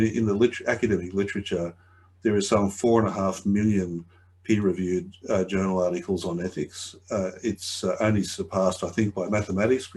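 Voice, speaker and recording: A middle-aged man giving a webinar talk over a video call, slightly croaky, hesitant, often repeating his words. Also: sits low, darkish tone. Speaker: webinar presenter. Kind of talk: conversational presentation.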